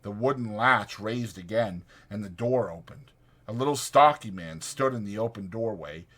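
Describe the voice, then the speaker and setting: A man's voice: lowish voice, normal speed, fairly bright tone. A man reading scripted literary narration as an audiobook narrator.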